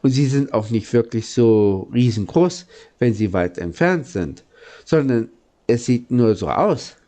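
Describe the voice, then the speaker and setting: A thoughtful locomotive driver read by a man from a children's storybook. He speaks politely and curiously, with an unhurried, mellow voice at middle pitch. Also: animated, lightly acted.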